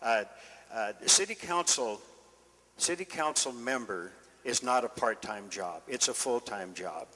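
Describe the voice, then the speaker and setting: Bright older American man in echoey room. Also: medium pitch, expressive, measured pace. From Northern California, United States. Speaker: city council candidate. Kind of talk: informal campaign speech. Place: indoor meeting room. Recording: echoey meeting room, long reverb.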